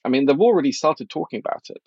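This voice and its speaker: A man's voice: medium pitch, lively intonation, fast, a little mellow, breathy edge, no room sound, muffled recording. A middle-aged man from Norway talking casually and analytically as an interview guest, blunt and opinionated in an informal way. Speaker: financial journalist and author, interview guest.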